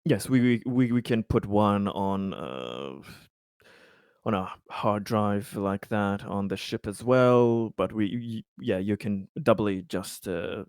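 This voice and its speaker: A man playing a tabletop roleplaying game, speaking casually and haltingly, often repeating words as he goes. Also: lowish voice, animated, gravelly, darkish tone, clean tone, no echo, studio quality.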